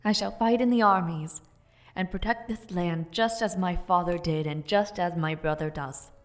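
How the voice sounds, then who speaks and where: A woman's voice: lowish voice, moderate pace, no echo, natural voice. A young woman voicing a spirited, playful noblewoman knight in an audio roleplay, chatty and teasing. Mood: solemn. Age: young adult.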